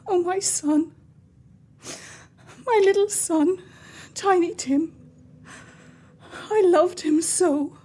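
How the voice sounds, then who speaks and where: A woman acting a grieving, tender mother in a radio play, tearful and halting. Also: extremely high pitch, warm tone, heavily acted. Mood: tearful grief. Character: grieving, tender working-class mother and wife.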